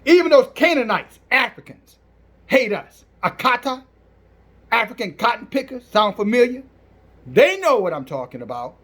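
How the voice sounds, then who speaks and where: High-pitched, forceful man preaching. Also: sing-song, normal speed. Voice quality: strong, loud preaching voice. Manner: forceful, declamatory, defiant. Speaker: Hebrew Israelite preacher. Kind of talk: fiery sermon with scripture reading and commentary.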